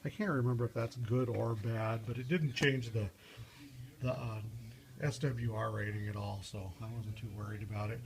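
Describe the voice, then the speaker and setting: A man talking through a casual, unscripted DIY car how-to as a vlogger, halting and rambling as he thinks aloud. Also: fairly low pitch, fairly even intonation, gravelly.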